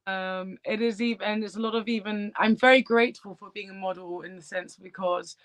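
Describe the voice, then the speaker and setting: A woman's voice: medium pitch, steady tone, a little fast, a bit bright. A woman being interviewed as a guest, bubbly and giggly, often saying "like" as she talks. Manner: bubbly, giggly, says "like" a lot. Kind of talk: casual interview chat.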